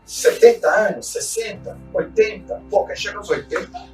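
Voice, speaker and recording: A man's voice: high-pitched, moderate pace, a little mellow. A man from Chile, a mountaineer giving an earnest, reflective motivational talk and often tagging his sentences with short questions. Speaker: mountaineer and expedition guide. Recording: roomy sound from a distance with faint music.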